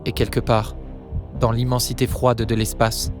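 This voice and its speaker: A man narrating a dramatic audio story in a grave, measured manner. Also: mid-range voice, monotone, music in the background.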